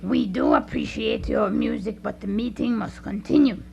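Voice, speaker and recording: A woman's voice: medium pitch, moderate pace, gravelly, darkish tone. A woman playing an earnest, self-important animal chairing a meeting in a radio play, pompous and orderly. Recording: faintly noisy live radio recording.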